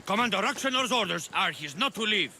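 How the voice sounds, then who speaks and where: A man's voice: high voice, lively intonation, brisk, sharp tone, a little hiss or hum, full character voice. An actor playing a sneering, easily riled male thug guard in a video game, with a threatening manner. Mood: menacing. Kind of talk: video game cutscene acting.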